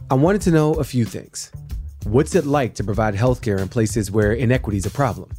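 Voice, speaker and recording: A man hosting a podcast, reading a scripted introduction and asking interview questions in a measured, earnest way. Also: medium pitch, warm tone. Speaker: podcast host.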